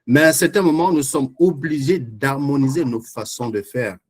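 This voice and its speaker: A man's voice: mid-range voice, normal speed. A man moderating a panel discussion, who thanks the speakers and puts forward his own argument in a sure tone. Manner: fluent, assertive, argumentative.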